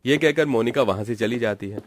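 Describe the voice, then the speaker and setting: A man narrating a scripted animated family story. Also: mid-range voice, brisk, slight background noise.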